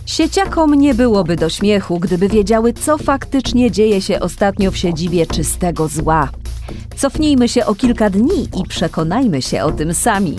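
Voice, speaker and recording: A woman's voice: medium pitch, very animated, moderate pace, music in the background. A woman narrating a children's radio play as a scripted storyteller.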